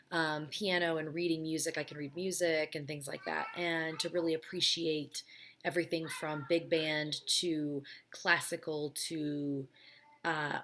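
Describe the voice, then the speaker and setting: A woman in her thirties with a raspy, low voice, chatting casually at home about her life and answering viewer questions with many ums. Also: a bit bright, a little hiss or hum. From United States. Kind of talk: casual chat. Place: home, child heard playing in background.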